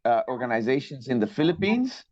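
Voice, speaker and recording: A man from Colombia, giving a measured, polite academic lecture over a video call. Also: mid-range voice, big pitch swings, darkish tone, no echo. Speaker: guest lecturer, structural engineer. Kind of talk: online lecture presentation. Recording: dull, dry video call audio.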